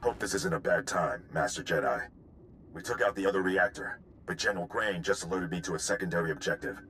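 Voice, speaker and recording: A man's voice: sits low, fairly quick, a bit bright, faint music behind. A man playing a stoic, gung-ho sergeant in a sci-fi video game, clipped and military. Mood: brisk. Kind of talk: video game cutscene acting.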